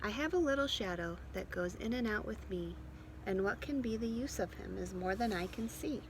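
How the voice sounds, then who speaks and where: A woman with a medium-pitched voice speaks as a teacher of young children, in a read-aloud lesson style. She keeps her wording simple and checks in with her listeners. It is recorded outdoors on a propped phone, with very noisy surroundings and vehicle sound behind her. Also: expressive, normal speed. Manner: addresses children with simple questions and check-ins. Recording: loud outdoor ambient and vehicle noise.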